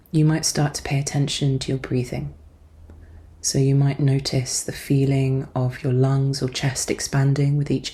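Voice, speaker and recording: A woman speaks in a deep, relaxed voice with a dark, warm tone. She guides a meditation as a therapist would, gently and soothingly. Her delivery is measured and calm, giving each instruction softly.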